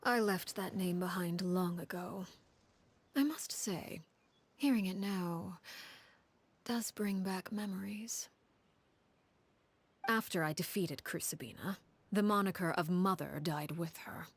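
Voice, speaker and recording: Casting: cold, commanding game harbinger woman, low-pitched, hushed and breathy, measured storyteller. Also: exaggerated voice. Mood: reflective, composed. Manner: measured, solemn, deliberate. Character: composed, cold, commanding woman harbinger telling her grim past. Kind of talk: dramatic storytelling in a video game.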